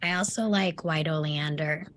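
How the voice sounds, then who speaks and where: Low-voiced woman in casual chat. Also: normal speed, fairly bright tone. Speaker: table read participant.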